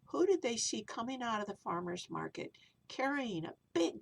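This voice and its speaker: A woman's voice: fairly high pitch, very animated, normal speed, vocal fry. A woman storyteller narrating for young children in simple, repetitive phrases, with little explanatory asides.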